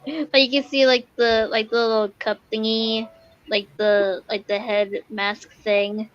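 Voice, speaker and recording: A woman's voice: a little high, level tone, measured pace, lo-fi sound. A young woman chatting casually over gameplay as a streamer, informal and easily amused. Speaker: streamer reacting to a game.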